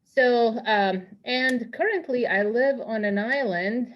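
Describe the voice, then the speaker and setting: A woman's voice: fairly high pitch, animated, slow, lo-fi sound. A woman from Croatia introducing herself on a video call, hesitant and full of fillers. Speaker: workshop participant. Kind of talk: casual self-introduction.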